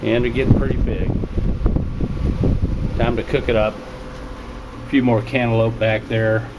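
Man, medium-pitched voice, casual hobbyist walkthrough, low-key and matter-of-fact, clearly noisy basement recording. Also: unhurried. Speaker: home hydroponics hobbyist narrating a video tour. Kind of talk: casual unscripted walkthrough narration. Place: small basement indoor grow room. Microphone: handheld camera, narrator behind it. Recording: steady loud hum and water noise.

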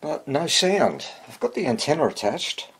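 An older man with a husky voice, giving casual, halting hands-on commentary as he tinkers at an indoor workbench. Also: a little high, very animated, fairly bright tone, a little hiss or hum, poor audio. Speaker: radio repair hobbyist.

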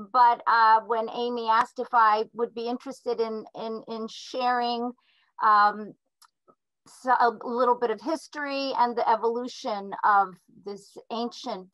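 A woman's voice: a little high, a bit bright, dry recording. A woman in her sixties whose first language is Ukrainian, giving a lecture over a video call from her home and often restarting her sentences. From Philadelphia, USA. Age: 60s. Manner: hesitant, restarts often, slow and careful. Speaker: artist lecturer. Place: home room with a wood stove. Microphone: laptop webcam mic on a video call. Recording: dull, dry video call audio.

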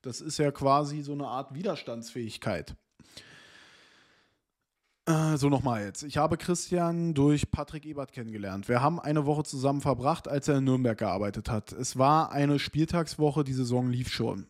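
A man's voice: medium pitch, normal speed. A man in his thirties reading an interview aloud on stream and chatting about it in a small room. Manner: reads text aloud, then comments in loose asides with fillers. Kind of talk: casual chat, reading an article aloud.

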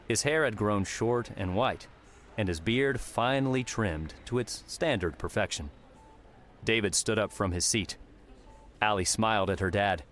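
Low-voiced male audiobook narrator. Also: a little hiss or hum. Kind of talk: scripted third-person fiction narration. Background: music.

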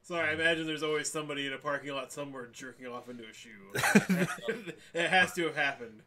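A man's voice: a little high, fast talker, bright, forceful tone. A man co-hosting a podcast, blunt and crude, swearing freely in casual banter. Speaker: podcast co-host.

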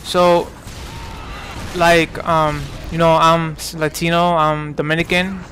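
A man's voice: fairly high pitch, flat delivery, takes it slowly, fairly bright tone, slight background noise. A man in his twenties from New York giving casual, rambling commentary over gameplay, full of fillers and restarts. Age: 20s. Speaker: gaming commentator.

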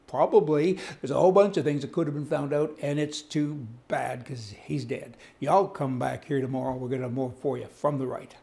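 A man's voice: medium pitch, steady tone, moderate pace, gravelly, few pauses, dark tone. An older man, giving indignant, rambling, folksy news commentary as host, reading to camera on an indoor studio set. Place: indoor studio set with wood-panel wall.

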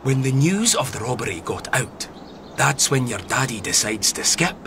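An actor playing a pushy, wry 1920s newspaper reporter in a radio drama, probing and insinuating. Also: male voice, medium pitch, big pitch swings, moderate pace, background noise. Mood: needling, amused.

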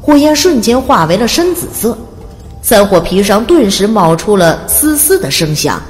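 A woman's voice: mid-range voice, sing-song, normal speed, big-room sound. A woman narrating a fantasy audiobook in a dramatic, scripted storytelling style. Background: music.

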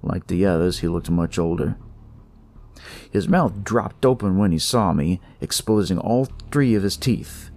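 A man's voice: low-pitched, measured pace, darkish tone. A man telling a first-person detective story in a colloquial, wry manner with hesitant asides.

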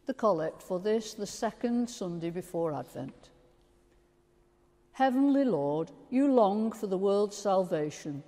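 Mellow older woman praying in church. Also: medium pitch, big pitch swings, a little slow. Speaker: priest leading the service. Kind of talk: liturgical prayer. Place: large stone church. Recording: reverberant church.

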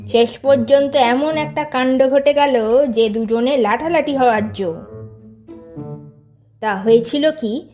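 A woman's voice: high voice, warm tone. A woman voicing a boastful teenage boy who leads his gang, spinning a tall tale in a teasing tone. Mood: scornful bragging. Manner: bragging, teasing storyteller tone. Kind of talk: storybook reading of a figure's tall tale.

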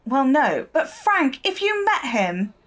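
An actress playing an earnest, stubborn, idealistic newspaper reporter in an audio drama, speaking defensively. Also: female voice, sits high, big pitch swings, slow pace, a bit bright, full character voice. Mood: earnest, pleading.

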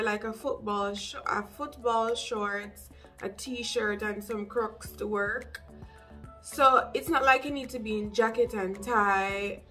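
A young woman with a Jamaican accent giving casual, direct advice to camera as an English teacher, with lively asides. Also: on the high side. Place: small room indoors.

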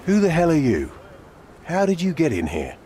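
An actor playing a gruff, cynical older police inspector in a video game, blunt, irritable and sardonic. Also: male voice, a little high, huge pitch swings, normal speed, noisy background. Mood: irritated, sardonic. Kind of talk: video game cutscene acting.

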